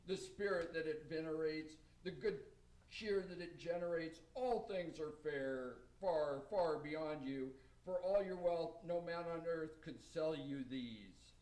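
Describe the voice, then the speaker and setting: A man's voice: on the high side, measured pace, darkish tone, distant mic, natural voice. Kindly, earnest Father Christmas figure, played by a man, reciting radio-play verse in a roomy echo, off mic. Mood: earnest, lyrical. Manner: declaiming rhymed verse, earnest. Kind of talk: amateur radio play acting.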